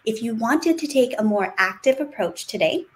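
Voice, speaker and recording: A woman presenting an online teacher workshop on a video call, upbeat and welcoming, explaining things in clear steps. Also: on the high side, expressive, normal speed. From United States. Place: home room on a video call.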